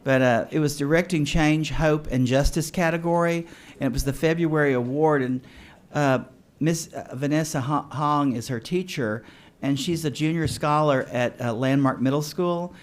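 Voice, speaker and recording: A man at a school board meeting announcing student awards in a halting way, with many fillers and restarts. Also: a little high. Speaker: school district official presenting awards.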